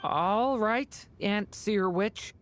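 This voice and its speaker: A woman voicing a gentle, innocent young boy in a video game, soft and youthful, polite and a little hesitant. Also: mid-range voice, drawn-out words, a little hiss or hum. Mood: polite, curious. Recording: faint music behind.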